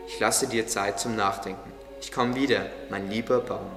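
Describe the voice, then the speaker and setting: A man's voice: on the low side, fairly even intonation, moderate pace, gravelly. Man as a patient gardener, echoing hall. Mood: gentle, caring. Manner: patient, solemn, reassuring. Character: calm, caring male gardener, gently guiding. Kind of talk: German religious audio drama acting. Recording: echoey hall with music underneath.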